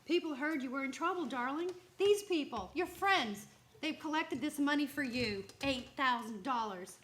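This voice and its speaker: A woman as a loving young wife, high-voiced. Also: animated, normal speed, fairly bright tone, slight background noise. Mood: tender, excited. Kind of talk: vintage radio play acting.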